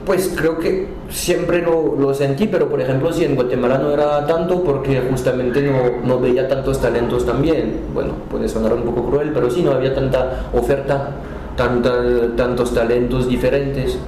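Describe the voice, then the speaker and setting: A man's voice: mid-range voice, fairly even intonation, heavy reverb, noisy background. A man from France speaking Spanish with a French accent, chatting as an interview guest and often restarting his words. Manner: halting, restarts words, friendly. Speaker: guest, cultural center director. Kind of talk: casual conversational interview.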